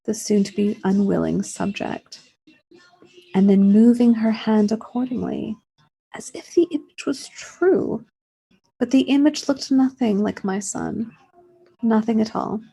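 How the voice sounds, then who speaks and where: A woman's voice: medium pitch, creaky, mellow, husky, low-quality recording. A woman reading a literary short story aloud in the first person, as a scripted narration.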